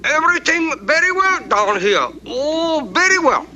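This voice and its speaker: A man's voice: extremely high pitch, very animated, measured pace, sharp tone, heavily acted. An actor voicing a polite, clever little male detective sidekick in a 1960s TV cartoon, with clipped broken English and excessive courtesy. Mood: excited. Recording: music bed under dialogue.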